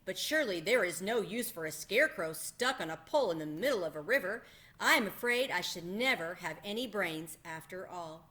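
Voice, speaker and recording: A woman's voice: fairly low pitch, expressive, fairly quick, pushed and bright. A woman voicing a forlorn male scarecrow from a children's storybook. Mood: glum. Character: stranded, despairing scarecrow, male, mournful about brains.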